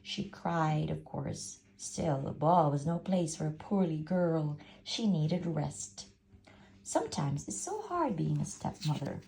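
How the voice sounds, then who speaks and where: A haughty wicked stepmother from a storybook, acted out by a woman, full of exasperation and self-pity. Her voice swoops widely up and down, with a gravelly creak as she exclaims. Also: sits low, lively intonation, takes it slowly, dark tone, exaggerated voice. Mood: mock innocent. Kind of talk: storybook first-person narration.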